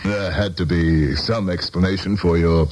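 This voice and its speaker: Deep-voiced, proud middle-aged politician. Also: male voice, steady tone, a little mellow. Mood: exasperated. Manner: formal, measured, exasperated diction. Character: proud middle-aged male politician, former teacher, wary and sardonic. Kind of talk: radio drama acting. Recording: noisy old radio broadcast recording.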